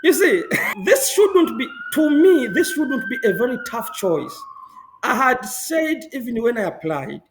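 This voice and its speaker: Interview guest from Zambia, male, young adult, high voice, lively and emphatic delivery. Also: a little slow, noisy background. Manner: animated, laughs often, emphatic repetitions. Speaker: guest, public health professional. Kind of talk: conversational interview answers.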